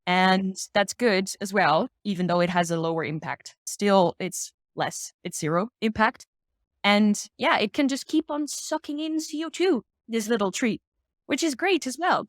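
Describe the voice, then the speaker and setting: A woman's voice: medium pitch, animated, measured pace, no room sound. Woman, expert guest, informal and upbeat explainer, conversational, thinks aloud, dry recording. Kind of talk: casual explanatory discussion.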